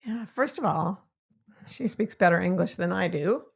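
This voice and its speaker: A woman co-hosts a podcast with dry, teasing banter. Her pitch moves a lot and her voice is lively. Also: normal speed, creaky, breathy edge. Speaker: podcast co-host. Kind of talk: casual podcast chat.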